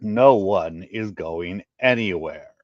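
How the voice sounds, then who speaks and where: Man playing a commanding alien agent, low voice. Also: slow pace. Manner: loud, formal, commanding. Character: calm, authoritative male alien security agent. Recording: muffled, roomy home recording.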